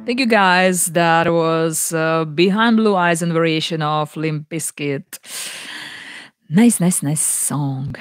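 A young woman with a naturally low voice, chatting casually with viewers between songs in a small home studio, speaking softly and haltingly into a close boom mic. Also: no echo. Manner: soft, halting, many fillers. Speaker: singer hosting a live music stream. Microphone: large broadcast mic on a boom arm, close.

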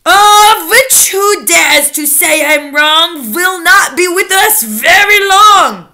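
Storybook villain: imperious, furious witch queen, shrill and forceful, stretching her words. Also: lively intonation, full character voice. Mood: menacing. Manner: screaming, imperious, drawn-out menacing delivery. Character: furious tyrannical female Grand High Witch.

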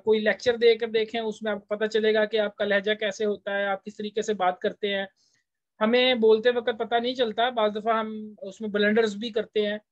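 A man's voice: high-pitched, no echo. Pakistani guy teaching, explains stuff conversationally like a lecturer, dry kinda muffled lo-fi audio. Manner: conversational, switches between languages to translate. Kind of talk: explanatory lecture, reading out English text and explaining it. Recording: dull, lo-fi voice-over recording.

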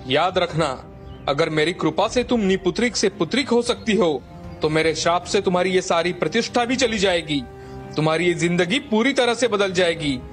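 A man playing a stern old sage, grave and commanding, in an animated folktale. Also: high-pitched, normal speed. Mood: angry, warning. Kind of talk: animated moral folktale dialogue. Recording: music bed behind the voice.

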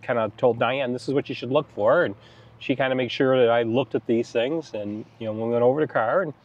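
A man's voice: mid-range voice, a little fast. A middle-aged man with an American accent talks in a casual, conversational way. He sounds like a travel vlog host recounting a trip outdoors, with a slightly dull recording. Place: outdoors in open air beside a car.

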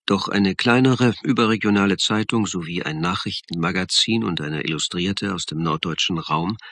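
An actor playing the wry first-person narrator of a radio crime play, speaking in a dry manner. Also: male voice, low-pitched, creaky, bright, forceful tone, slightly rough, no room sound, heavily acted.

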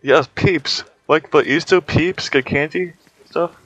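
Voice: mid-range voice, takes it slowly, a bit bright, slightly rough, highs cut off. A gamer chatting casually during play, teasing and joking with a friend. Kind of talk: casual chat while gaming.

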